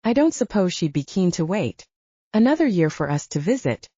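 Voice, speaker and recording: A calm, cautious father played by a woman narrator reasons agreeably in an audiobook story. The delivery is measured yet varied in pitch, with a relaxed timbre. The recording is dry and slightly muffled. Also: husky, dry recording. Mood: reasoning, hesitant.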